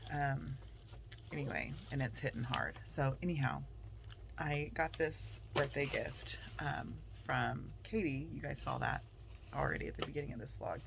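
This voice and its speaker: A middle-aged Southern woman talks into her phone held at arm's length, rambling along with plenty of fillers. Her voice carries a gravelly fry, and faint noise hums in the background. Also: a bass voice, lively intonation, normal speed. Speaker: vlogger and teacher. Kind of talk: casual vlog chat to camera. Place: outside by her car at school, then indoors at night.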